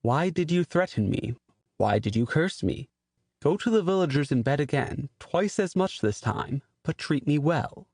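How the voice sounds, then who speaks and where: Gravelly, husky talking buffalo played by a man. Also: a little high, expressive, moderate pace, a little mellow, dry recording, clean studio sound, exaggerated voice. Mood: reproachful. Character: solemn, proud male talking buffalo, gentle helper. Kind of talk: dramatized audiobook reading.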